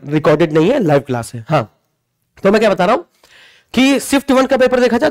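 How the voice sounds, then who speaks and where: A man giving an informal, explanatory lesson to students as a coaching teacher, emphatic and repetitive, often checking in with tag questions. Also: high voice. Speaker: exam coaching teacher presenting a video.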